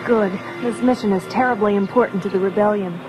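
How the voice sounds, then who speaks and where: An actress playing a brisk, authoritative young rebel princess in a 1980s audio drama. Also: female voice, medium pitch, natural voice, poor audio. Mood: businesslike. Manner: businesslike, authoritative. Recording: music behind, radio drama production.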